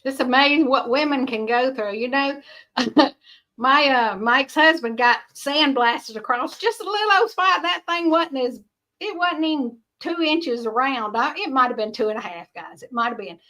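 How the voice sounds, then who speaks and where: Middle-aged woman vlogger, high bright voice, rambling homespun chat with frequent laughs. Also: normal speed. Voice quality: high-pitched. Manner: rambling, chatty, laughs often. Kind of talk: casual chat to viewers.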